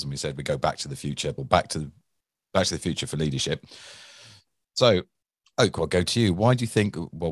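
A man hosting a podcast in a relaxed, chatty way, with jokey asides. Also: a bass voice, dry recording, clean studio sound. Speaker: podcast host.

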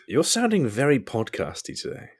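A man's voice: mid-range voice, huge pitch swings, normal speed, slightly rough. A man co-hosting a podcast, speaking with a dry, teasing wit in casual chat. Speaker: podcast co-host.

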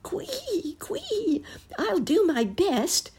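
A woman's voice: very high-pitched, wildly swooping pitch, very slow, a little mellow, voice pushed up, exaggerated voice. A woman voicing a fat lady pig who squeals and grunts in a storybook. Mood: squealing.

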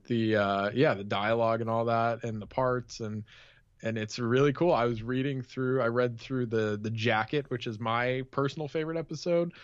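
A dull, slightly lo-fi recording with little room sound carries a man chatting as a podcast co-host. He speaks informally at a middle pitch, working out his thoughts in halting phrases. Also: steady tone, clear, resonant tone, no echo. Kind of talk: casual pop-culture podcast chat.

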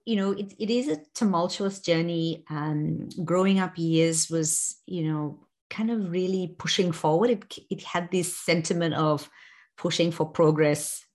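A woman from South Africa in her forties shares her story in a measured, reflective way. Her voice sits notably low, and she often pauses with fillers and restarts sentences as she searches for words. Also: a little mellow. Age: 40s. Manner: thoughtful, many fillers and restarts. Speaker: interview guest. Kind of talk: reflective personal interview.

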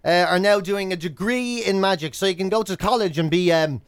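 An Irish man with a fairly high voice, chatting casually as a radio co-host. He rambles through comic asides and slips into imagined dialogue. The sound is dry and close, as in a studio. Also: brisk, smooth, no echo. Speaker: radio breakfast show co-host. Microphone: close studio microphone.

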